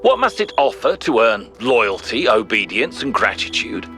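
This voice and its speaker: An actor playing a thoughtful, principled ancient Greek philosopher in a video game, giving a grave, measured, rhetorical monologue. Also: male voice, medium pitch, sing-song, vocal fry, a bit bright. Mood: questioning, pointed. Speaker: narrator of philosophical audio logs. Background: music.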